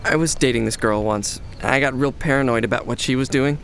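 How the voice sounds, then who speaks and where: An actor playing an awkward, wry young medical resident with dry, deadpan humour in a naturalistic radio drama. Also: male voice, mid-range voice, brisk, vocal fry, a little hiss or hum. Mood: sly, teasing.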